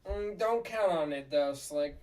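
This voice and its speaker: A woman voicing a gruff, excitable, boastful male puppet in a sitcom table read, with blustery exclamations. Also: sits low, unhurried, far from the mic. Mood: gruff, wry.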